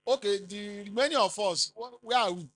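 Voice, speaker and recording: A middle-aged man with a Nigerian accent giving a business seminar talk, rising loudly for emphasis and quizzing the audience. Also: high-pitched, moderate pace. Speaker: conference speaker.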